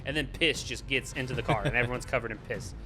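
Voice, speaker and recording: A man's voice: medium pitch, fast, music in the background. A man chatting casually and bluntly, giving a scornful, opinionated film review as a show host. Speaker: movie review podcast host.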